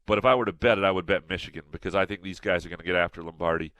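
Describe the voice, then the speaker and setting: A man's voice: deep voice, racing, fairly bright tone, no echo. A man co-hosting a sports podcast in casual chat, a little nasal, rambling with restarts and fillers. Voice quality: slightly nasal.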